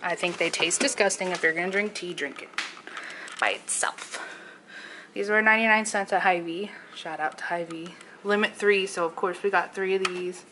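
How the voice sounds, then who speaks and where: A woman's voice: on the low side, steady tone, normal speed, sharp tone, slight background noise. A young woman vlogging at home, chatting casually and giving blunt, joking opinions while showing her groceries and pantry. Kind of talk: casual chat.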